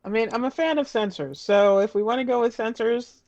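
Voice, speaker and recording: A woman's voice: fairly high pitch, a little fast, a little mellow, no echo, highs cut off. A woman chatting casually as a player in a tabletop game, with a wry, sarcastic way of talking. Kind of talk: casual group chat.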